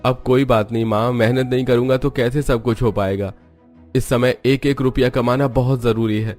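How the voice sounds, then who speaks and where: A man's voice: medium pitch, steady tone, moderate pace, a little mellow, faint music behind, natural voice. Man acting a weary, hardworking cartoon family man. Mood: earnest, reassuring. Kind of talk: cartoon family drama dialogue.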